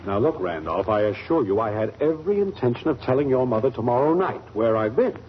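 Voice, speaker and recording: A man's voice: mid-range voice, expressive, brisk, lightly acted. An American man acting a sheepish, flustered middle-aged father in a 1940s radio comedy, hesitant and blustery. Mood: earnest. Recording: noisy, muffled 1940s radio recording.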